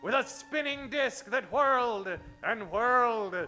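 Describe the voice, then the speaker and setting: An actor playing the melodramatic narrator of a comedic old-time radio adventure serial, grandiose and emphatic. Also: male voice, very high voice, measured pace, sharp tone, soft background music, heavily acted.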